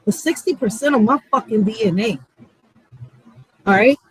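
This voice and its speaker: A woman's voice: fairly high pitch, moderate pace, slightly rough, poor audio. A woman giving a heated, profane casual monologue, blunt and emphatic, using street slang and repeating phrases for stress. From Puerto Rico or Puerto Rican background. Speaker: channel host.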